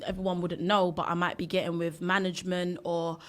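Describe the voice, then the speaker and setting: A woman's voice: on the low side, little pitch movement. Chatty candid young woman rapper. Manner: chatty, candid, quick to laugh. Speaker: rapper, interview guest. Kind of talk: casual interview chat.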